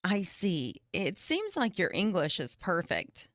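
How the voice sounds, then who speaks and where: Woman interviewer with lively intonation. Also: on the low side, takes it slowly, no echo, studio quality. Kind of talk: scripted dialogue for English learners.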